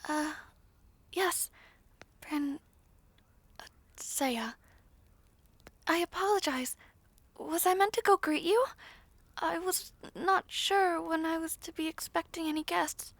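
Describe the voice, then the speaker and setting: A woman's voice: very high voice, slow pace, pauses often, a bit bright, no echo, exaggerated voice. A woman voicing a timid, polite young princess in an audio roleplay, with hesitant, formal speech. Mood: flustered, polite.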